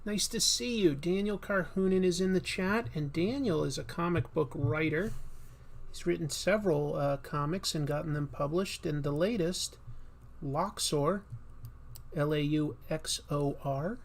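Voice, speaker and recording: A man's voice: a little high, a little slow, vocal fry. A man hosting a casual comics livestream while he draws, chatty and rambling, with a slightly nasal voice. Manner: rambling, chatty, with many fillers and restarts. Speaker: livestream host and comic artist.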